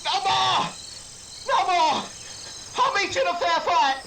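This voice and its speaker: Cornered cowboy outlaw yelling defiance, really high sharp voice, radio western, crickets n faint noise. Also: male voice, animated, a little slow, gravelly, full character voice. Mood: desperate. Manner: calling out with rural cowboy grammar. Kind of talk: western radio drama acting.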